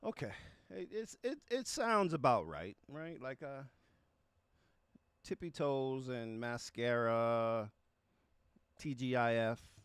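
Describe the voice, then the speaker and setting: A man hosting a music reaction stream, chatting casually in a halting, conversational way and often tagging phrases with a check for agreement. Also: mid-range voice, lively intonation, clean tone, no room sound. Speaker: music reaction show host. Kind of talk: casual livestream chat with viewers about music.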